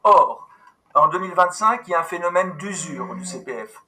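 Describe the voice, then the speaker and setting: A man runs a video-call meeting with a very bright, ringing tone. He speaks a little high and keeps going in a brisk, businesslike way, stumbling and restarting as he goes. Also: expressive. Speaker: meeting host, company manager. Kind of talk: online team meeting.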